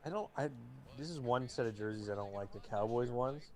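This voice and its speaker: A young man with a booming voice, co-hosting a live football watch stream in rambling casual chat, mic clipped to his jacket. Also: a little fast. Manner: rambling, talkative, full of restarts and fillers. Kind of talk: casual chat and game commentary. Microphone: jacket used as mic mount.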